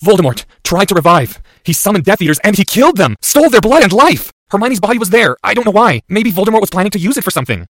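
Man voicing a shrill, panicked teenage wizard boy. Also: big pitch swings, fast, a bit bright, dry recording, clean studio sound. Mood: breathless, insistent. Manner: urgent, strained, wavering outcries. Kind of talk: dramatic audiobook character voice.

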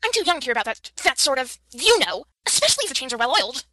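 A woman playing a cheeky, excitable young schoolgirl in an audiobook, with an indignant, dramatic manner. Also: high voice, racing, dry recording, clean studio sound, full character voice. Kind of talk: audiobook character acting.

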